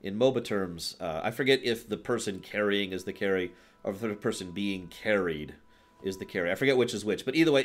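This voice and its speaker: Low-voiced man explaining games on a livestream. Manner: emphatic, explanatory, checks understanding with short tag questions. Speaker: livestream host. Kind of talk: casual livestream chat about video games.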